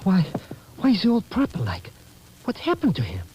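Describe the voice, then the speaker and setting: Nervous comic sidekick, very animated delivery, old noisy radio recording. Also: male voice, high-pitched, moderate pace. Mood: nervous, complaining. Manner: wheedling, stammering, exclamatory. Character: excitable, nervous, wheedling comic sidekick hustler. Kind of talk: 1940s radio drama acting.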